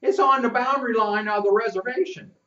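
Man putting on a squeaky scruffy boy. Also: brisk, bright, forceful tone. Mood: earnest. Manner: rustic ungrammatical talk. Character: scruffy boastful boy of about ten. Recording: roomy and distant, muffled.

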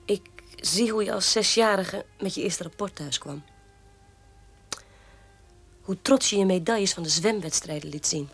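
A woman's voice: fairly low pitch, breathy edge. Snarky needling sister in a radio play, scornful, bit of music behind her. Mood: reproachful. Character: mocking, sharp-tongued sister defending her father.

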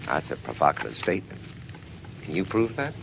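An actor playing a smug, sarcastic mystery writer accused of murder, mocking and haughty in a theatrical way. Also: male voice, a bass voice, wildly swooping pitch, a little fast, creaky, fairly bright tone, exaggerated voice, rough recording. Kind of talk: dramatic dialogue acting. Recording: noticeable background noise.

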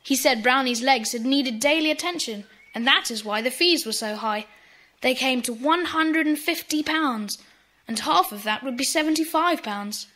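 A woman's voice: high-pitched, moderate pace, pushed and bright. A woman playing an earnest, polite British schoolboy in a children's audio drama. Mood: earnest, eager.

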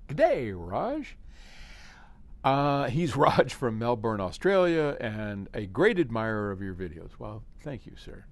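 A man, explaining things casually to camera in an unhurried, thinking-aloud way as host of a question-and-answer video. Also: mid-range voice, big pitch swings, dry recording. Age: 70s. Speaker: company head hosting a question-and-answer video. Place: large indoor office workspace with hard floor.

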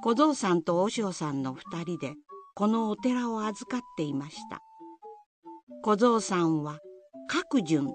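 A woman's voice: mid-range voice, lively intonation, measured pace. A woman narrator reading a scripted Japanese folktale in the style of a radio drama. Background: music.

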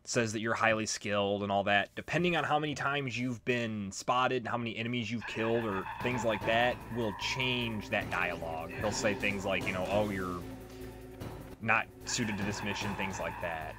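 A man with a fairly low voice chats informally through a game, explaining as he goes. Loud game music plays behind him. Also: normal speed. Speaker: gaming YouTuber. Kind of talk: casual let's play commentary.

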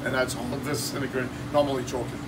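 A man in his fifties, a shop owner giving an energetic, salesy cooking demo in a small shop office, filmed on a phone. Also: mid-range voice, rapid-fire. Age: 50s. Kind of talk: casual promotional demo. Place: small shop office with kitchen counter, indoors. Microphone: phone camera held by someone nearby. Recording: distant phone audio with room noise.

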